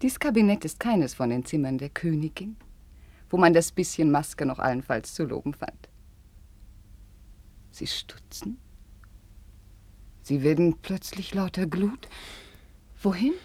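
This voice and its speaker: An ardent young princess at a royal court in a vintage radio play. Her voice is lowish and husky, recorded dry and close. She delivers classical slowly and theatrically, moving between hushed confiding and fervent declamation. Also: expressive, no echo. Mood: agitated, probing. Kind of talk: 1950s German radio play, classical verse acting.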